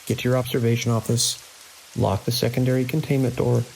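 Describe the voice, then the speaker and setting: An actor playing a grim, commanding security chief in a horror audio drama, giving terse, urgent orders. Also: male voice, medium pitch, little pitch movement, fairly quick, vocal fry, a little mellow, close to an everyday voice, rough recording. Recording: constant hiss and rain-like noise.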